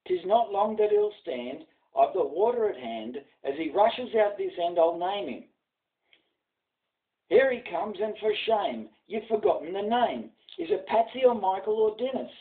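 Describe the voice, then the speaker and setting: A man's voice: high-pitched, very animated, a little slow, pitched above the performer's own voice. A man voicing a jocular, scheming Irish country priest in a theatrical, exclaiming storybook-poem reading. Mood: urgent. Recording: dull lo-fi sound, distant mic.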